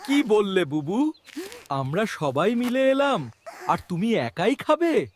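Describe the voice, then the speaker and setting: An earnest animal from a children's fable , acted by a man, high-voiced and very animated. The lines come slowly, over clear background music. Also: heavily acted. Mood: indignant. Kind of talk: children's cartoon storytelling.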